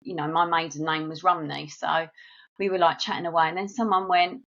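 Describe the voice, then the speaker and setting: A woman's voice: low voice, level tone, fairly quick, fairly bright tone, muffled recording. A middle-aged woman with a South East London accent, telling stories in a friendly, chatty way as a podcast guest. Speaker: guest, marketing agency director. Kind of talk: casual interview chat.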